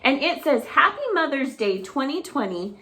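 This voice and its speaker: Bright, high, animated female preschool teacher. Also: moderate pace. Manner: animated sing-song teacher talk, addressing small children. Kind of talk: children's circle-time lesson to camera.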